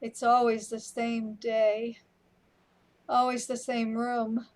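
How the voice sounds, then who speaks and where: A woman playing a wry, weary companion in a radio play, speaking in a deliberate way. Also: a little high, flat delivery, a little mellow. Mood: anxious, despairing. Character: unhurried, matter-of-fact companion, wry and weary.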